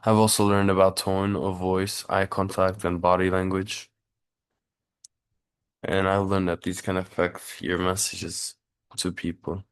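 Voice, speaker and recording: A man's voice: sits low, level tone, measured pace, clean tone, dry recording. A young man from Turkey giving a prepared class speech, earnest, with small restarts and fillers.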